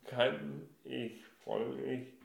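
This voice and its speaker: A male podcast host speaks very slowly in halting, deliberate phrases. His voice has a noticeable creak and an average pitch. The room echoes around him. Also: fairly even intonation. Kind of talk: podcast interview, casual moderation. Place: kitchen used as a studio. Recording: roomy echo, faint music behind.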